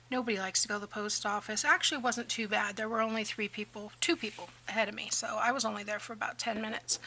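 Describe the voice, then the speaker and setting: Bright-voiced woman chatting through a craft tutorial. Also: a little high, slight background noise, rough recording. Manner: chatty, rambling, drops to near-whispers at times. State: slightly hoarse, losing her voice. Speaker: craft channel host. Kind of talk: casual crafting tutorial narration.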